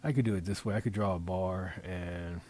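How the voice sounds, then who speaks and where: A man talking through an informal software demonstration, improvising in a halting way as he thinks aloud and repeats phrases. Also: low-pitched, a little hiss or hum. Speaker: presenter demonstrating design software. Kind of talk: informal screen-recording tutorial, improvised.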